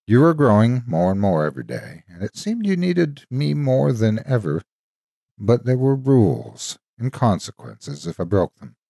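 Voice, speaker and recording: A man narrating a dramatic story as a devoted, wistful ghostly guardian, an old soldier reminiscing tenderly in a husky, folksy way. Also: mid-range voice, sing-song, normal speed, mellow, dry recording, clean studio sound. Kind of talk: dramatic first-person horror storytime narration.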